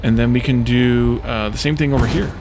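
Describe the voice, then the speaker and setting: A man's voice: mid-range voice, steady tone, a little fast, noisy background. A man giving casual video game commentary as a let's play host, thinking aloud and musing to himself in short fragments. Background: game music.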